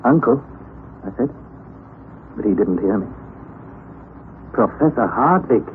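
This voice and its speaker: An actor playing an earnest, skeptical young nephew in a vintage radio drama, expressive and formal in diction. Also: male voice, mid-range voice, takes it slowly. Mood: grave, storytelling. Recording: tinny, noisy old radio recording.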